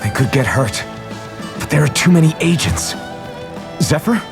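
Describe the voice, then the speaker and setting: Resolute young swordsman, even mid voice, loud music bed. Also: on the high side, moderate pace, gravelly. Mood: earnest. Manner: earnest and resolute. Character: determined young male swordsman hero. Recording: game audio over loud music.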